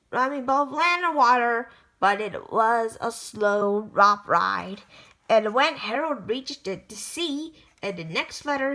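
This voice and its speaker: Slow, bright-voiced woman reading aloud. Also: on the high side, poor audio. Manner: slow, expressive storytelling with lively emphasis; occasional stumbles and restarts while reading. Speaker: reader of a children's picture book.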